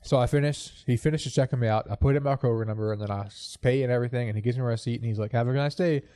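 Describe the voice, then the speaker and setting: A man's voice: mid-range voice, racing, dark tone, dry recording. A man with a congested, stuffed-up voice hosting a podcast, chatting casually and telling an anecdote. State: congested from a cold. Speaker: podcast host. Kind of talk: casual chat and anecdote storytelling. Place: living room corner home studio.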